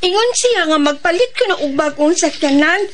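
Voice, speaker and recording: A woman with a Cebuano Filipino accent playing a sweet, deferential family member in a radio drama, gentle and girlish. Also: very high voice, expressive, moderate pace. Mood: pleased, shy.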